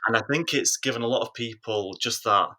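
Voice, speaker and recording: A man's voice: mid-range voice, fairly quick, bright, forceful tone. A man hosting a podcast, asking a guest reflective, encouraging questions. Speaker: podcast host. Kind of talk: interview conversation.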